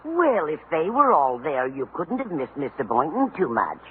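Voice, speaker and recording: A kindly, slightly dotty elderly landlady chats with big swoops of pitch. It is fifties radio comedy on a noisy, narrow old recording. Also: big pitch swings, moderate pace. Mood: chatty, kindly. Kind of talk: 1950s radio comedy acting.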